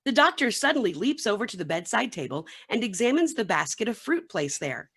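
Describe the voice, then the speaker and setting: Narrator: woman, scripted drama narration, medium pitch, lively inflection. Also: fairly quick, husky. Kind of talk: scripted narration, reading stage directions.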